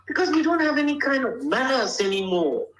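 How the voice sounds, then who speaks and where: A woman's voice: high voice, very animated, brisk. Older woman, interview guest; forthright, insistent, roomy sound. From Liberia. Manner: blunt, emphatic, asks "you understand?". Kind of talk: casual interview talk.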